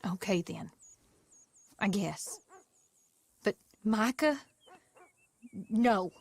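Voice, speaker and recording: An actress playing a tough, protective frontier wife and mother who speaks in a dry, sharp-tongued way in a video game cutscene. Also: medium pitch, lively intonation, extremely slow, vocal fry, slightly rough, heavily acted.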